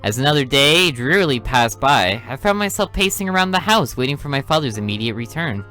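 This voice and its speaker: A man's voice: medium pitch, sing-song, fairly quick, faint music behind. A man hosting a game playthrough, reading the story narration aloud with casual asides. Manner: reads narration evenly, drops into quiet asides. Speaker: let's play host.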